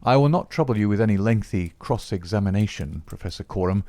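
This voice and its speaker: A man voicing a calm, sharp detective who talks in a measured, probing way. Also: lowish voice, dry recording. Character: sharp, calm middle-aged male detective.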